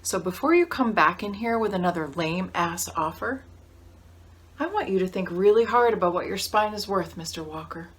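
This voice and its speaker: A woman's voice: fairly low pitch, expressive, normal speed, husky. A woman acting a steely, contemptuous lawyer in a dramatic monologue, speaking in a controlled, cutting way. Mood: cold contempt.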